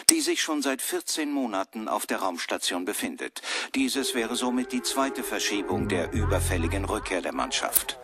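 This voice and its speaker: Husky, lively-pitched radio newsreader. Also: male voice. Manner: formal, factual. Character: formal male radio newsreader. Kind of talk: formal news bulletin in a radio play.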